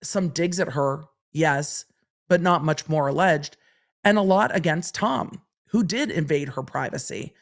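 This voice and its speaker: Slightly raspy woman explaining legal points. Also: low-pitched, measured pace. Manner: explains statutes point by point, asks and answers her own questions. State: slightly raspy from long days of streaming. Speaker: legal commentator and podcast host. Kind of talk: solo legal podcast commentary.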